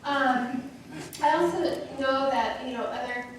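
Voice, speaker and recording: A woman interpreting live in casual first person, speaking in halting, broken phrases. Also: high-pitched. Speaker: interpreter voicing for a deaf signer. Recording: very echoey, distant mic, noisy room.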